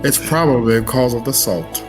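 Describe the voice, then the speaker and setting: A man putting on a solemn, grave narrator for a mock-dramatic comedy reading, speaking in a slow, deliberate way. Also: mid-range voice, over music, lightly acted. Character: solemn dramatic narrator parodying a famous actor, recounting a mishap.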